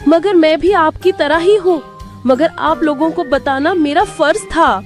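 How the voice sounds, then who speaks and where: A woman's voice: high voice, a little slow. A woman voicing a cunning, manipulative female fox in a cartoon, with dramatic delivery. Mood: feigned outrage. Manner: sly, manipulative, theatrically dramatic. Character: cunning scheming female fox plotting to rule jungle. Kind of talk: Hindi cartoon voice acting. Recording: background music behind the voice.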